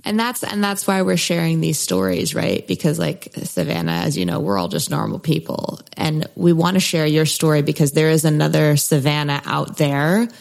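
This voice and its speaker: A woman's voice: deep voice, moderate pace, gravelly, few pauses, a little mellow. A woman hosting a podcast, interviewing a guest in an enthusiastic, casual way. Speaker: podcast host.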